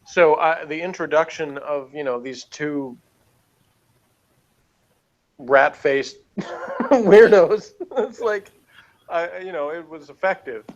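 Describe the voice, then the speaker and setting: A man giving casual review opinions in a rambling, halting way, full of filler words. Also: on the high side, muffled recording. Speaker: review show panelist.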